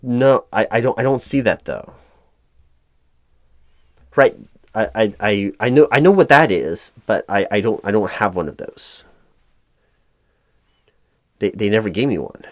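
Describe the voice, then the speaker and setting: A man's voice: lowish voice, animated, a little slow, long pauses, dry recording, studio quality. A man playing a flustered, apologetic corporate hiring manager in a one-sided roleplay, stammering and repeating his words as he goes. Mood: exasperated. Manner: frustrated, hesitant. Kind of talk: improvised audio roleplay, one side of a conversation.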